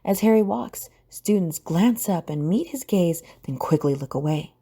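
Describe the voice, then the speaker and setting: A woman reading scripted story narration for an audio drama in a mellow, husky voice. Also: lowish voice, animated, moderate pace.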